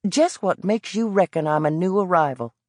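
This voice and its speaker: A woman's voice: lowish voice, lively intonation, moderate pace, a little mellow, dry recording, pitched above the performer's own voice. A woman voicing a scrappy, defiant young boy with a thick American Southern drawl, proud and prickly, in a dry studio read. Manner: proud and prickly, formal words.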